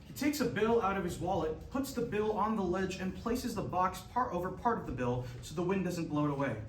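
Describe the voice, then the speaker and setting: A man's voice: sits high, expressive, brisk. A man reading scripted stage directions as narrator at a staged reading. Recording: echoey room, far mic, faint noise.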